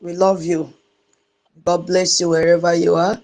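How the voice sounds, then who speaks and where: A woman's voice: fairly low pitch, little pitch movement, measured pace. A woman with a Nigerian accent hosting a live online audio space, greeting and welcoming listeners by name, some in her own dialect. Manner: greets listeners one by one in dialect, sing-song and warm.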